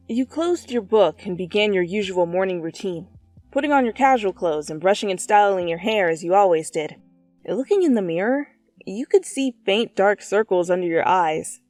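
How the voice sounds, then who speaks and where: Expressive woman reading a story, close and dry. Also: medium pitch, dry recording. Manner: theatrical, expressive. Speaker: fan fiction reader. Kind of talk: dramatic storytelling narration in second person.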